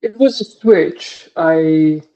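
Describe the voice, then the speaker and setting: A man's voice: on the high side, slow pace, dark tone, highs cut off. A man in his thirties, from Singapore, answering interview questions as a guest professor, hesitant, with many fillers and restarts.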